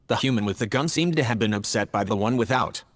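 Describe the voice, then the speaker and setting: A man playing a logical, earnest male artificial intelligence in an audio drama, speaking in a measured, factual, formal way. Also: fairly low pitch, big-room sound, natural voice. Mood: earnest, matter-of-fact. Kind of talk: scripted audio drama dialogue.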